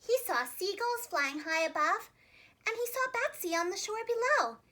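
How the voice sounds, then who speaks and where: Female children's storyteller, preschool-teacher manner, extremely high register, lively intonation, clearly enunciated. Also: normal speed. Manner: animated, expressive, carefully enunciated.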